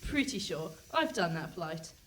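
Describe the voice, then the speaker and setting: A woman's voice: lowish voice, sing-song, a little slow, fairly bright tone. A woman playing a cool, confident young private investigator with a dry manner, in a comic radio play on stage. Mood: matter-of-fact. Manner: dry, matter-of-fact. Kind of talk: comic radio play before a live audience. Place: hall stage with a live audience. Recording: distant stage pickup with some noise.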